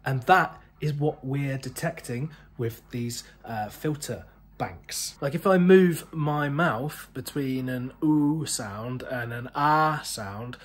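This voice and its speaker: A man's voice: mid-range voice, takes it slowly, husky. A British man in his thirties presenting an explainer to camera in a conversational, enthusiastic way. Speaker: museum video host. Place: indoor museum room full of synthesizers.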